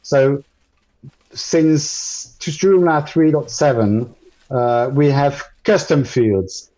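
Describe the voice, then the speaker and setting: Male presenter, French native speaker, mellow tone, stop-start delivery, muffled call audio. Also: mid-range voice, extremely slow. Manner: hesitant, many ums and restarts. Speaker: guest presenter. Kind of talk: live screen-share tutorial.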